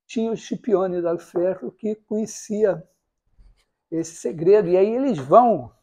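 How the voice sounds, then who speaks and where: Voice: mid-range voice, unhurried, warm tone. A university mathematics lecturer with a Brazilian accent gives an informal, storytelling lecture in a rambling, digressive, self-correcting way.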